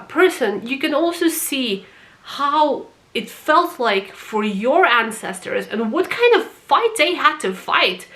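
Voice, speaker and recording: A woman's voice: high voice, bright, forceful tone. A woman from Croatia, not a native English speaker, talking passionately in a casual opinion vlog about a TV series and swearing for emphasis. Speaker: vlogger reviewing a TV series.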